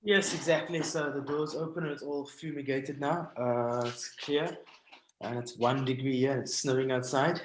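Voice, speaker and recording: A man with a South African accent telling stories informally on a video call, halting and pausing often. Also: medium pitch, fairly even intonation, slight background noise. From Cape Town, South Africa. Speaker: guest artist sharing stories. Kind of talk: informal storytelling presentation. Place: indoors at home, on a video call. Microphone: video call microphone. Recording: roomy, echoing video call audio.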